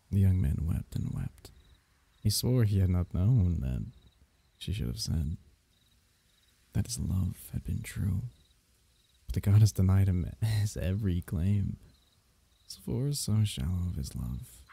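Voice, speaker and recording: Man playing a very dark-voiced, gentle royal diviner. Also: sits low, exaggerated voice. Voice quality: husky, gravelly. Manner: archaic, tender, unhurried storytelling. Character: gentle, loving royal diviner telling a bedtime myth. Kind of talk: ASMR bedtime story roleplay.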